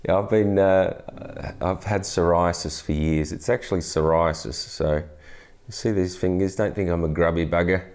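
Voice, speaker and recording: A man, teaching guitar in a relaxed, chatty way with plenty of restarts, on a lapel mic in a studio. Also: deep voice. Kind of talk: casual guitar tutorial. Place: indoor studio, brick wall backdrop. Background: acoustic guitar playing.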